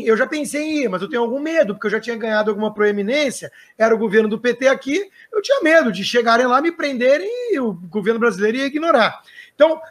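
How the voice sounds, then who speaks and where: A man's voice: extremely high pitch, a bit bright. A man hosting an interview, talking in long conversational runs with frequent restarts and hesitations. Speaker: interviewer, show host.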